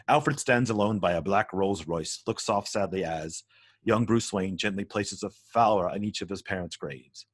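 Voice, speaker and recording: A man's voice: lowish voice, no room sound. A man reading stage directions as the narrator of a script table read.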